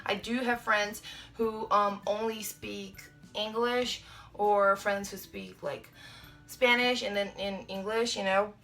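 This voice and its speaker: Bright-voiced Brazilian woman vlogger. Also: mid-range voice, steady tone, slow. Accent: Brazilian Portuguese. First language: Portuguese. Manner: chatty, many ums and likes, gestures a lot. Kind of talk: casual to-camera vlog answering tag questions. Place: small bedroom indoors. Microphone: camera mic at close framing.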